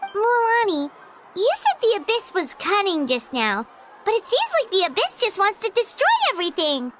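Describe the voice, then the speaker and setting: Paimon, squeaky tiny fairy companion. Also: female voice, very animated, normal speed, very noisy. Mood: puzzled. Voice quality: squeaky, childlike. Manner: perky, talks of herself in third person. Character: Paimon, tiny childlike female fairy companion, bubbly and chatty. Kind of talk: video game character acting.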